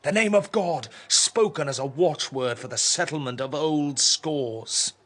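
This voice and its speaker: A contemptuous king obsessed with order in a radio drama. His voice is husky and sharp, and he declaims with scornful, forceful emphasis. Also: on the high side, a little slow. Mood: indignant, declamatory.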